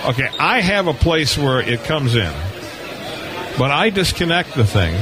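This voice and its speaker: Halting man over crowd chatter. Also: a little high, sing-song, background noise. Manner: halting, restarts often. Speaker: radio show host. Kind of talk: casual radio chat. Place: convention floor booth. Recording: live broadcast with crowd behind.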